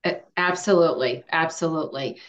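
A woman hosting an interview who asks her questions slowly and searchingly, with many fillers. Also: low voice, reverberant. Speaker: interview host. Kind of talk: casual interview chat.

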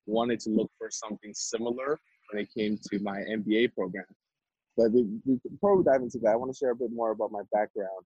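A man's voice: on the low side, darkish tone, husky, no room sound, poor audio. A young man from Vietnam, an MBA student guest, talking casually with lots of fillers and restarts. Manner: chatty with frequent fillers and restarts. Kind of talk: casual conversational interview.

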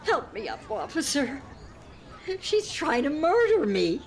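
A woman's voice: high voice, wildly swooping pitch, slow pace, full character voice. A woman playing a melodramatic, suspicious old lady in an audio drama, plaintive and aggrieved in her delivery. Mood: aggrieved, pleading.

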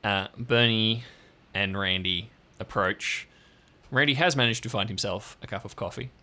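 A man narrates as game master in a relaxed, descriptive way, often pausing with an um. His voice is low but bright and forceful. He sounds easygoing while he sets each scene. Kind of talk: improvised audio drama narration.

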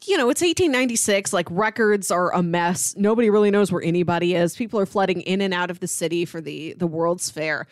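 A woman's voice: fairly low pitch, quick pace, few pauses, dry recording. Enthusiastic woman podcaster, dry close sound. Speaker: podcast co-host. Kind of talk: casual comic book discussion.

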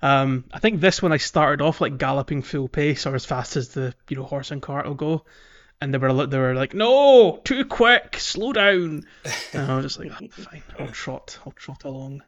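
A man's voice: on the high side, expressive, moderate pace, a bit bright. Man podcast host, slightly muffled audio. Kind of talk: casual gaming podcast chat.

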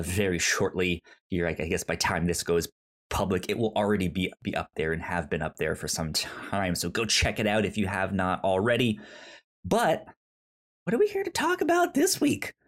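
A man hosting a casual film review podcast, talking haltingly and often stammering over the start of words. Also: lowish voice. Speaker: podcast host.

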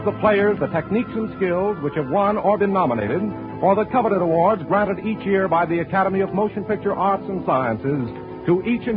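A man announcing in scripted 1940s radio style, with a formal, grand, measured delivery. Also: high-pitched. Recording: tinny vintage radio broadcast with music.

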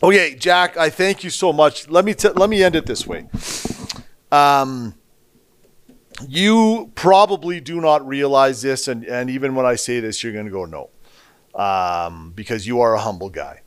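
A man hosting a casual interview, chatty and folksy, firing off quick questions and encouraging replies. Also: mid-range voice, expressive, clean tone. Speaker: podcast host.

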